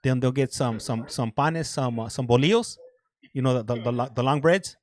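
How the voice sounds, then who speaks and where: A man's voice: medium pitch, normal speed, darkish tone, no room sound, clean studio sound. A Mexican American man chatting casually on a video call, laughing often, stumbling over repeated words and slipping Spanish into his English. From Southern California. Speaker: guest on a prison video call. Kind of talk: casual chat.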